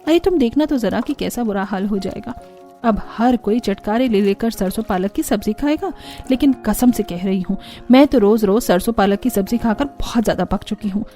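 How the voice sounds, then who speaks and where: A woman's voice: mid-range voice, moderate pace, mellow, soft background music, natural voice. A woman voicing a weary but helpful elder sister-in-law in a cartoon story, explaining things emphatically. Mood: weary, grumbling. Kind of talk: Hindi cartoon story character acting.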